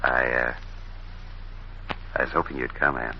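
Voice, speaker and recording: An actor playing a charming, smitten American gentleman in an old-time radio drama, expressive and intimate. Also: a bass voice, a little slow, creaky, full character voice. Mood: delighted, tender. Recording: muffled, noisy old radio recording.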